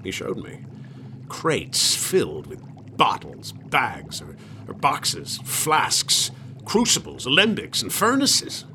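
Gossipy old colonial farmer man, conspiratorial, lively pitch, radio drama, bit of background noise. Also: takes it slowly, full character voice. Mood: agitated, indignant. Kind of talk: radio drama acting in period speech.

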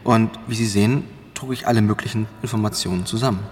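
A male actor plays a grave, guilt-laden middle-aged man in a radio drama. His voice sits low, and he speaks in halting, foreboding phrases with dramatic pauses. A faint bed of noisy ambience lies behind him. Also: steady tone, lightly acted. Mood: grave, earnest. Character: haunted, guilt-ridden older man, fearful and grave. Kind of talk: German audio drama acting.

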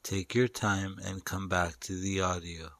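A man guiding a meditation in short, deliberate phrases with long pauses between them. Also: deep voice, flat delivery, fairly bright tone, dry recording. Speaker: meditation guide. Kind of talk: guided meditation narration.

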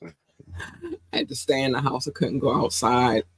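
Lively, slangy woman podcast host. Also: a bass voice, unhurried, husky. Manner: animated, slangy, playful, repeats phrases. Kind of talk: casual podcast chat.

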